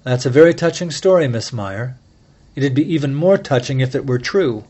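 A man voicing a calm, incisive amateur sleuth who lays out his case in measured, formal speech, for an audiobook. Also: mid-range voice, breathy edge. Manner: measured, mild yet relentless, formal address.

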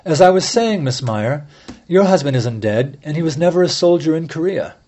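A calm, formal sleuth who lays out a case with gentle, remorseless precision, as a male narrator plays him. The voice is somewhat raised and evenly measured, a storybook detective in control of the room. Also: on the high side, pitched above the performer's own voice. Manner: measured, mild yet relentless, formal address. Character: calm, incisive middle-aged male amateur sleuth.

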